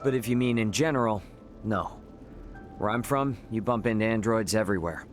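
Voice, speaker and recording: A plain-spoken young spacefarer from a video game, performed by a man. His voice is fairly low, casual and direct, explaining things matter-of-factly while music plays clearly behind him. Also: moderate pace, lightly acted. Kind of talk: video game character acting.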